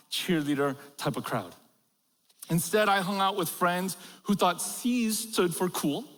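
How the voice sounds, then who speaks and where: Middle-aged pastor guy cracking jokes in his sermon, kinda high voice, big reverby church hall. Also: fairly bright tone. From Korea, raised in America. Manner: humorous storytelling, laughs at own jokes. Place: large church auditorium.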